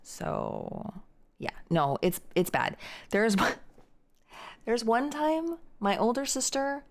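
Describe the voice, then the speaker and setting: A woman's voice: fairly high pitch, big pitch swings, takes it slowly. A young woman streaming live, chatting casually and reading out and answering viewer messages. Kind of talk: casual chat reading chat aloud.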